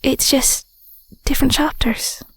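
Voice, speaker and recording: A woman playing a tender, sentimental young Scottish werewolf girlfriend in an intimate audio roleplay, speaking softly and breathily with a gentle, affectionate manner. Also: measured pace, dry recording, natural voice. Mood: wistful, tender. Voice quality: soft, breathy, whispery. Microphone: close mic, dry.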